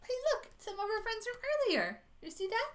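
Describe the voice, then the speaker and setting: A woman hosting an animated storytime for preschoolers, expressive and often putting questions to her young listeners. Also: very high-pitched, fairly quick. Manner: expressive, addresses young children with questions and gasps. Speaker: children's librarian hosting storytime.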